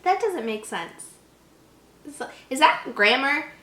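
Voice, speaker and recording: A woman's voice: high-pitched, big pitch swings, takes it slowly, bright, forceful tone. A woman hosting a channel, reading a horror story aloud with giggly, stumbling asides. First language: English. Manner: giggly, stumbles over words. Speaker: channel host. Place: echoey indoor room. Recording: echoey room.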